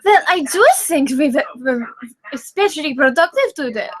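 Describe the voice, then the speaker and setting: A woman voicing a loud, obnoxious teenage boy prankster who talks in a fake British accent. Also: sits high, expressive, normal speed, soft background music. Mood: playful. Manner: boisterous, cooing.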